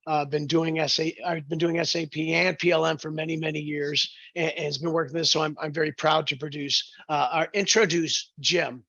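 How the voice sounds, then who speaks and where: Froggy hoarse guy hosting a webinar, kinda high and tense, dry muffled call audio. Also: fairly even intonation, sharp tone, dry recording. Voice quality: gravelly. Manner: halting, many fillers and restarts. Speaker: webinar host, sales director. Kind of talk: webinar presentation.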